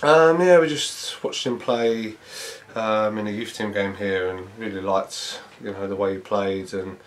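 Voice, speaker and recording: A man, a football manager giving a measured post-match interview, thinking aloud with many fillers. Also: fairly low pitch, steady tone. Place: small indoor press room before a sponsor board.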